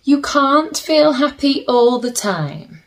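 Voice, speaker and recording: Slow-paced woman reading to children. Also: sits high, big pitch swings, slight background noise. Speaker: children's story reader. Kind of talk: picture-book read-aloud with chatty asides to children. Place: small plain room indoors.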